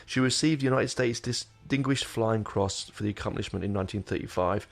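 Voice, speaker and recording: A man's voice: fairly low pitch, fairly quick, gravelly, faint music behind. Nasal, rambling male podcast host. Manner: rambling, many fillers and restarts. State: nasal, getting over illness. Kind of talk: casual podcast talk. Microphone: desk microphone.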